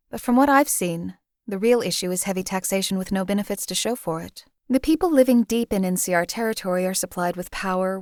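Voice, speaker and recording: A woman voicing a cold, detached female hired assassin who speaks in a measured, formal, cynical way. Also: mid-range voice, a little mellow, dry recording, studio quality, lightly acted. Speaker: companion character voice. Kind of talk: game voice lines.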